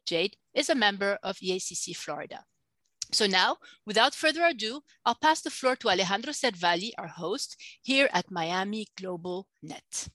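A woman's voice: mid-range voice, animated, normal speed, fairly bright tone, no room sound. A woman, director of a business organization, reading a formal scripted introduction. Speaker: chamber of commerce director.

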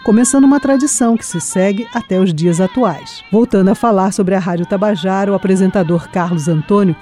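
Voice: mid-range voice, dark tone. A Brazilian narrator presenting a scripted radio documentary.

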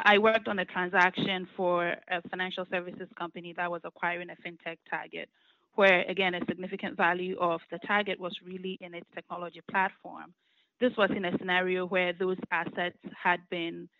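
A woman, a law firm partner giving measured, explanatory interview answers with frequent fillers. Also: lowish voice, no room sound. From Ghana. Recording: muffled lo-fi audio.

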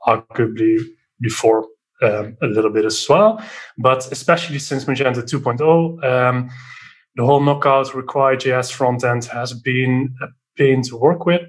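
A man from the southern Netherlands with a Dutch accent, talking casually as a podcast guest and software developer. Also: mid-range voice, moderate pace. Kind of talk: casual explanatory interview talk.

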